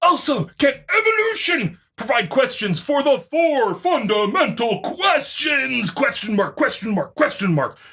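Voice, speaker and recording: A woman's voice: high-pitched, sing-song, measured pace, few pauses, a bit bright, husky, heavily acted. A woman doing a mocking dramatic reading as an indignant, smug online commenter, overwrought and spelling out punctuation. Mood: pompous challenge. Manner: overwrought, reads punctuation aloud.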